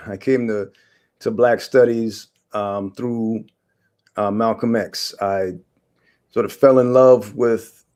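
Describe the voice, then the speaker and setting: A man in his forties from Guyana, a historian answering interview questions in a halting way, with many fillers and restarts. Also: lowish voice, steady tone, a little mellow. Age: 40s. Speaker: guest historian.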